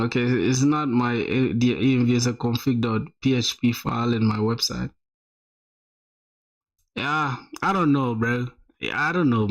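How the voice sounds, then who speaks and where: A young man live-streaming, reviewing viewers' websites in a casual, slangy way, full of "bro" and "my boy". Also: mid-range voice.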